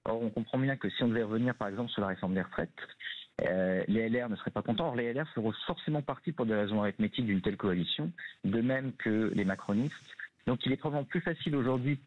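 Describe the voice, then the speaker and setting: A man's voice: mid-range voice, fast, no room sound, muffled recording. A man with a French accent from France analyses politics live as a studio guest, often repeating words as he searches for his phrasing. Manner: hesitant starts with repeated words. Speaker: political scientist guest on a radio debate. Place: radio studio.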